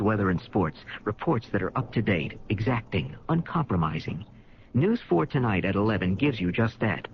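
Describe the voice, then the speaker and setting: A man's voice: medium pitch, slight background noise. A man reading a persuasive TV news promo. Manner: persuasive, salesy. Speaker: TV promo announcer. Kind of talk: scripted ad read. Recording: dull lo-fi 1970s radio recording.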